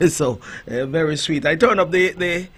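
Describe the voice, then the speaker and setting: A man's voice: on the high side, a little slow, a bit bright, slight background noise. Chatty male radio host on headset. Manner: chatty, laughing, with fillers and restarts. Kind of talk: live radio talk. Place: radio studio.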